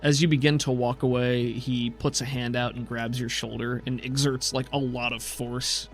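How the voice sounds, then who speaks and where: A man runs a tabletop roleplaying game, describing each scene in a conversational, improvised way. His voice is mid-pitched, with faint music behind it. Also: flat delivery, normal speed. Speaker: game master.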